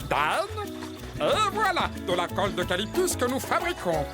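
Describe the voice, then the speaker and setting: A woman voicing a solemn, dramatic koala in a dubbed children's cartoon, with formal, drawn-out speech over music. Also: fairly high pitch, huge pitch swings. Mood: grateful, ceremonious. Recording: music under the voice.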